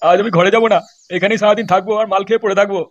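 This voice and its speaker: A man's voice: high-pitched, steady tone, rapid-fire, highs cut off, heavy background noise, lightly acted. A man voicing a foul-mouthed old grandfather in an amateur comedy cartoon, grumbling coarsely and keen to dance and drink. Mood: grumbling, defiant.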